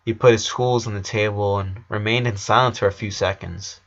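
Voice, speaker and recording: A man's voice: fairly low pitch, fairly even intonation, moderate pace. A man reading a story aloud as narrator, in the style of an amateur audiobook. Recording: slightly dull lo-fi recording.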